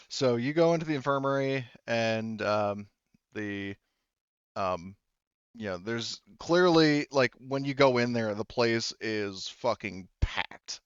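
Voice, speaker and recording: A male game master with a smooth, low voice improvises scenes for his tabletop players. His delivery is halting as he works out the details, and the recording is dry. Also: a bit bright, no room sound, highs cut off.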